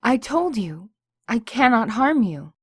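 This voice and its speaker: A woman's voice: fairly high pitch, big pitch swings, slow, husky, no room sound, clean studio sound, exaggerated voice. A woman voicing a humble female djinni spirit, a servile mare who speaks formally and imploringly. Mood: earnest. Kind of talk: fan audiobook voice acting.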